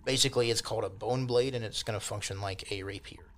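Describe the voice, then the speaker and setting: A man's voice: on the low side, fairly even intonation, quick pace, a bit bright. A man acting as game master, improvising descriptions in a casual, rambling, colloquial way.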